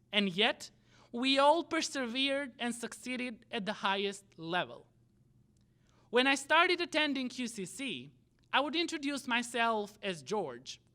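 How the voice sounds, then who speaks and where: A young man with an Albanian accent, giving a prepared graduation speech as student body president in measured, deliberate phrases. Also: very high voice, pushed and bright.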